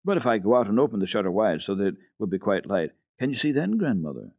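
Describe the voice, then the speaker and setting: A man's voice: fairly low pitch, very animated, fast, gravelly, a little mellow, dry recording, exaggerated voice. A man voicing an earnest, eager and kind little girl in a dramatic storybook reading. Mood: anxious.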